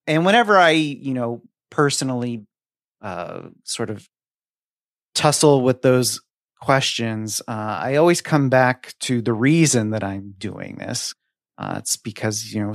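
A man's voice: medium pitch, expressive, gravelly, no room sound, studio quality. Hesitant, thoughtful man on a podcast. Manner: hesitant, thoughtful, halting phrasing. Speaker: podcast co-host. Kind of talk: casual reflective podcast conversation.

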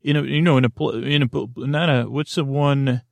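A man hosts a bedtime podcast in a creaky, gravelly voice at an ordinary male pitch, recorded very dry and close. He meanders whimsically, stumbling over words and doubling back to correct himself as he rambles to help listeners sleep. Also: steady tone, no echo. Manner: halting, meandering, with stammers and self-corrections; goofy, whimsical. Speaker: podcast host.

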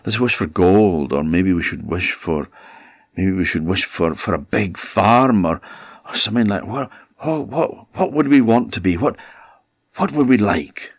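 A man's voice: low voice, lively intonation, unhurried, husky, exaggerated voice. A man voicing a hot-tempered old peasant husband in a folk tale, scolding and blustering. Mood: dithering.

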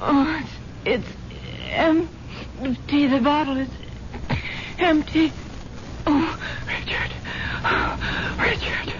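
An actress playing a trusting, genteel wife who is betrayed, speaking in a breathy, halting manner in a 1970s radio mystery. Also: female voice, sits high, fairly even intonation. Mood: groggy, alarmed. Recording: dull, noisy vintage radio recording.

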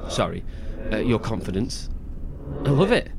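A man's voice: lowish voice, very animated, moderate pace, creaky. A man acting a teasing, stumbling, sweary brother haunted by otherworldly sight in a horror audio drama, with vehicle noise behind him.

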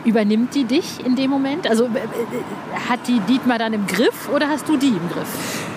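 Casting: female podcast interviewer, fairly high voice, informal and searching, noticeable background noise. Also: moderate pace. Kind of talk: casual interview chat. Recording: clear urban background noise.

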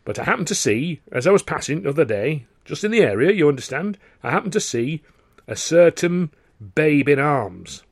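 A man's voice: a little high, sing-song, moderate pace, gravelly, slightly rough, dry recording, clean studio sound, pitched above the performer's own voice, heavily acted. A man voicing a middle-aged male theatre impresario who is jovial but touchy, hesitant then snapping. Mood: probing.

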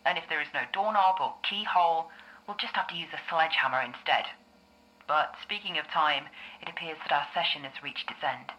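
A woman acting as a calm female therapist in an audio drama. Her voice is low for a woman and clearly bright, and she explains things in a measured, reassuring way. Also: poor audio. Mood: reassuring, guiding. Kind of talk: scripted audio drama dialogue.

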